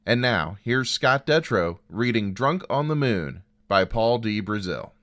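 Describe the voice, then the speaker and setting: A man's voice: on the low side, animated, unhurried, a bit bright. A man hosting a story program, reading a scripted introduction. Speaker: host introducing stories.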